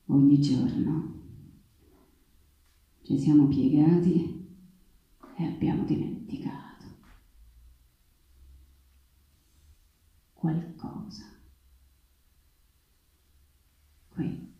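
A woman's voice: low-pitched, fairly even intonation, lo-fi sound, pitched below the performer's own voice. A woman playing a defiant, anguished Antigone in an incantatory, emphatic theatrical monologue. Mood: hushed, mournful. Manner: incantatory, slow, emphatic, with repeated phrases. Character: defiant, anguished young Antigone rebelling against power. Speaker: stage actress and playwright. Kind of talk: poetic theatrical monologue over live guitar. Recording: very echoey, reverberant live performance with guitar.

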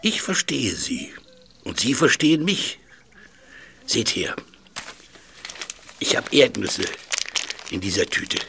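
A man's voice: mid-range voice, very animated, slow, vocal fry, long pauses, fairly bright tone, a little hiss or hum, exaggerated voice. A man playing an eccentric animal lover in a German audio drama, tender with creatures and stubborn with people. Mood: tender, excited.

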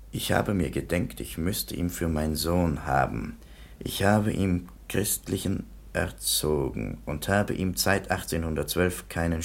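A very deep-voiced commissioner with a gravelly creak. He is measured and a little pedantic, setting out matters plainly in radio drama style. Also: heavily acted. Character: matter-of-fact male police commissioner presenting evidence. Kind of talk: German radio play acting.

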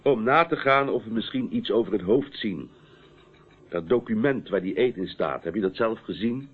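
A man's voice: lowish voice, expressive, normal speed, husky. A man acting in a radio play as a persistent police inspector, questioning in a businesslike, authoritative way. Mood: probing. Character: stern, persistent male police inspector interrogating a witness. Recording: muffled, narrow-band old radio recording.

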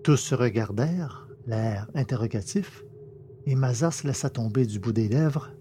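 A man narrating an audio drama in a measured storytelling way. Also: medium pitch, mellow, a little hiss or hum. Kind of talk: scripted narration.

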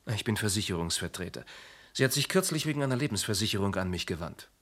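A smooth insurance agent who explains things with patient, faintly mocking precision. His voice sits low, with a husky breath and a bright edge. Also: expressive, fast talker. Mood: explaining. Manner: precise, wry, slightly condescending. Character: polished, ironic male insurance agent. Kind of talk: radio play acting.

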